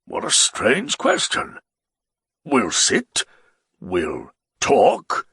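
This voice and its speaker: A man voicing a moody, blustering middle-aged nobleman who draws out his words and sometimes shouts. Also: medium pitch, huge pitch swings, gravelly, slightly rough, no echo, clean studio sound, exaggerated voice. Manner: loud, drawn-out, exclamatory delivery, sometimes shouting.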